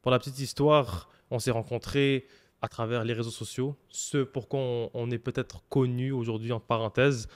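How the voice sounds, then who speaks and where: A man with a Québécois French accent hosts a casual podcast. His voice has an ordinary mid-range pitch. He speaks informally and often restarts his sentences. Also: fairly even intonation, normal speed. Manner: informal, hesitant, many fillers and restarts. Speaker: podcast host.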